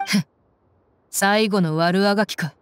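A woman's voice: medium pitch, fairly even intonation, a little slow, slight background noise, lightly acted. An actress playing a tough, blunt young female mercenary in a game, brusque and tomboyish. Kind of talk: Japanese game voice acting.

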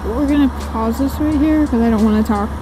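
A woman's voice: a little high, brisk. Chatty young woman riding a motorcycle. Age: 20s. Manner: chatty, giggly, rambling. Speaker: motovlogger. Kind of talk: casual chat while riding. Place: outdoors on a moving motorcycle. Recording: wind and engine noise.

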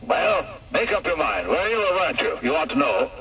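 A man's voice: a little high, big pitch swings, a little fast, husky, pitched above the performer's own voice, exaggerated voice. A man voicing curt male RAF officers heard over a telephone line in a radio story, speaking in a clipped, officious way. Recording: muffled distant phone-line sound.

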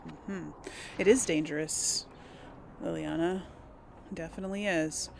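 A woman's voice: lowish voice, fairly even intonation, measured pace, gravelly, slight background noise. A woman giving casual role-play commentary on a video game, informal and musing aloud. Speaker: gaming channel host.

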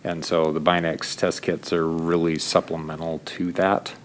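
Very deep flat-voiced man explaining. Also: measured pace, slight background noise. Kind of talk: answering board questions.

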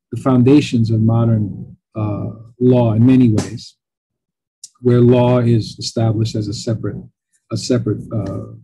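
A middle-aged man lectures in a loose, chatty way, his first language Italian. His voice is very dark and deeply rough, almost breathy. He talks at a desk webcam, full of fillers and lively asides. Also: low-quality recording. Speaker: professor.

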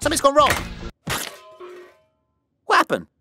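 A man voicing a pompous, excitable half-fly, half-spider superhero in a cartoon, with theatrical, melodramatic delivery. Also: very high voice, a little slow, vocal fry, over music, exaggerated voice. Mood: puzzled. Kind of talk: cartoon superhero acting with self-narration.

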